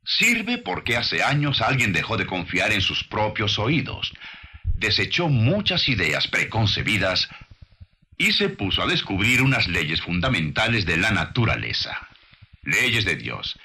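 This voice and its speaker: A man reads scripted narration in a low, husky voice with a bright, forceful edge. He is deliberate and didactic, shaping his lines like a sermon and leaning on rhetorical emphasis. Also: sing-song. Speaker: documentary narrator and preacher.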